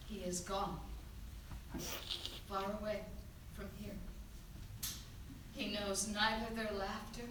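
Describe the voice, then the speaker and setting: An actress playing the grieving, defiant wife of a fallen warrior, with anguished, weighty declaiming in a live tragedy reading. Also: female voice, medium pitch. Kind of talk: dramatic stage reading of Greek tragedy. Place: theater stage, live reading. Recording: very echoey theater, distant, faint noise.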